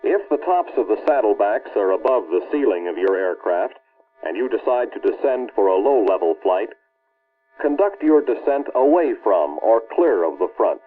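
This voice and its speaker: An American man reads scripted instructional narration for an early 1960s military film in a formal, authoritative, measured way. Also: fairly low pitch, sing-song. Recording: muffled, band-limited old film soundtrack.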